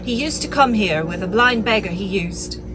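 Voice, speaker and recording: A woman reading an audiobook, voicing a crafty, blustering one-legged male pirate cook with loud sea-dog talk. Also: mid-range voice, animated, normal speed, background noise, lightly acted. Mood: boisterous.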